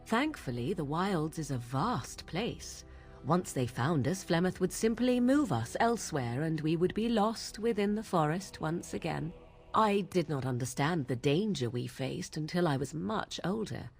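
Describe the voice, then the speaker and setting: Young wilds witch, sardonic, husky low voice, lively intonation, theatrical archaic phrasing, fantasy game dialogue. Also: a little slow, soft background music. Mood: cool, reflective. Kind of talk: video game dialogue acting.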